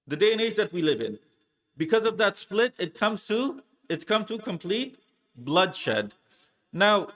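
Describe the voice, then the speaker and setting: Higher-voiced man preaching emphatically. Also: fairly bright tone. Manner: emphatic, deliberate, repetitive oratory. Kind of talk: religious lecture, rhetorical questions.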